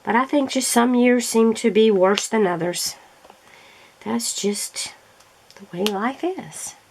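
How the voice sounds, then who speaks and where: A woman's voice: on the high side, slow, slight background noise, low-quality recording. A woman in casual, rambling chat as a crafting vlogger, often correcting herself, her throat irritated by allergies. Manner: rambling, chatty, self-correcting.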